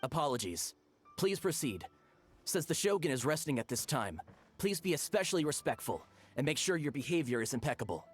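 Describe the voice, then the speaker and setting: A man's voice: fairly high pitch, very animated, brisk. A man voicing a dutiful palace gate guard in a game cutscene, speaking in a stiff, officious way. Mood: formal.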